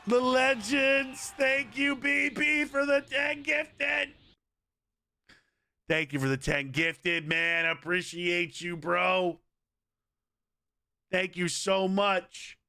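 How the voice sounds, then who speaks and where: A man with a hoarse voice, chatting casually and energetically on a game livestream and pleading with viewers. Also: sits high, drawn-out words, long pauses, bright, forceful tone, no room sound, clean studio sound. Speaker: game streamer.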